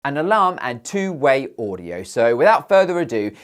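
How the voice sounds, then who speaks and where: A British man presents a product review in a lively, highly varied voice. He narrates from a script in an informal, playful way, dropping in jokey asides. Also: moderate pace. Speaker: tech reviewer and channel host.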